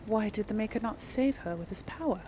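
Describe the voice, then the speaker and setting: A woman's voice: medium pitch, animated, brisk, darkish tone, a little hiss or hum. A woman casually commenting on and role-playing through a video game, musing in a hesitant way. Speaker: gaming video host.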